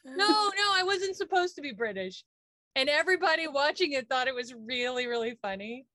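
A woman with a slightly higher voice chats animatedly on a podcast, throwing in exasperated asides as she tells her story. The sound is close and dry. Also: animated, moderate pace, pushed and bright, no room sound. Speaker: podcast co-host. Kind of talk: casual chat.

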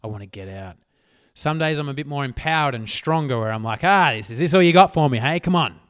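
A man hosting a solo motivational podcast, talking emphatically and swearing casually, his voice worn hoarse. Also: mid-range voice, expressive, fast, fairly bright tone, no room sound, clean studio sound. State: losing his voice. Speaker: podcast host.

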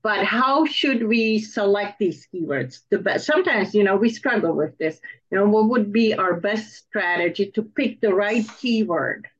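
A woman's voice: mid-range voice, expressive, moderate pace, husky. A woman hosting an interview in a conversational style, asking questions. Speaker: interviewer, host. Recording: dull, slightly muffled sound.

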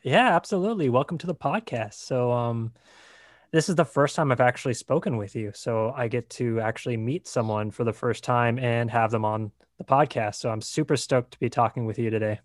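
A man's voice: mid-range voice, a little fast, dry recording. Laid-back male podcast host, dry sound. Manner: relaxed, rambling, many fillers like "like" and "uh". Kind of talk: casual podcast conversation.

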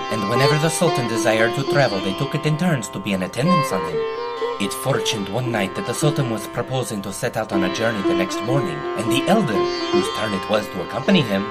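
A man narrating an old tale in a solemn, formal storytelling manner. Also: fairly low pitch. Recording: narration over loud music.

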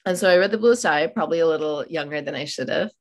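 Low-voiced thoughtful woman author. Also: quick pace. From India, raised in Oman. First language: Malayalam. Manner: thoughtful, many fillers and restarts. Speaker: author guest. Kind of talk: podcast interview.

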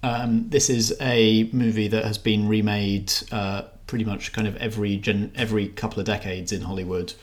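A man's voice: fairly low pitch, flat delivery, normal speed, few pauses. A man co-hosting an arts podcast, explaining things in casual chat with dry humour. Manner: explanatory, dryly humorous. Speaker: arts podcast co-host and film reviewer.

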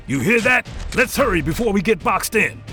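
A man's voice: high voice, expressive, normal speed. A man playing a brash military pilot in a sci-fi audio drama. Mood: urgent, rallying. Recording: slightly distant mic, faint music.

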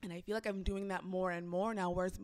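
Young woman dj chatting, kinda low voice, acts out what people said, lively. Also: fast talker, clean tone. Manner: animated storytelling, acts out quotes. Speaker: club DJ. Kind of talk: casual conversation.

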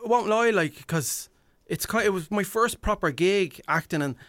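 A man's voice: high-pitched, lively intonation, moderate pace, fairly bright tone, no echo, clean studio sound. An Irish man talking casually as a guest in a radio interview. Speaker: guest, impressionist and event MC.

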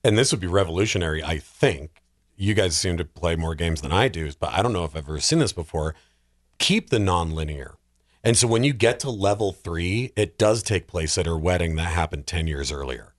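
Man, low voice, casual podcast chat, thinking out loud, close dry sound. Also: expressive, brisk, no echo. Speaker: podcast co-host. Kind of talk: casual chat about films and video games.

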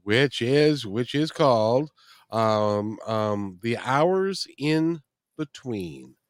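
A man hosting an interview, asking an author about her work in a halting way with repeated words. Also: medium pitch, lively intonation, no echo, studio quality. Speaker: interviewer host. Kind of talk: interview conversation.

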